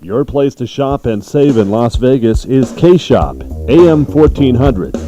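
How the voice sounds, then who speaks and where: A man's voice: mid-range voice, animated, measured pace, mellow, soft background music. A man reading a scripted radio station ident as an announcer.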